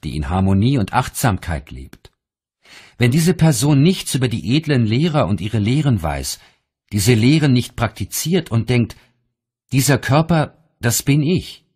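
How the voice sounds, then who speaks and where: Male narrator for spiritual audiobook, mid-pitched, slightly breathy husk, expressive intonation, measured delivery.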